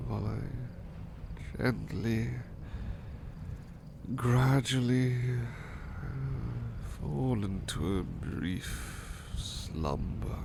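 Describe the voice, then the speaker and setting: Guy acting as a devoted gentle butler, asmr roleplay, really slow n gravelly murmur, noisy background. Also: mid-range voice. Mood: sleepy, tender. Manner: gentle, hesitant, murmuring, partly whispered. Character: devoted, tender, courteous male butler in love. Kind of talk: soft romantic ASMR roleplay.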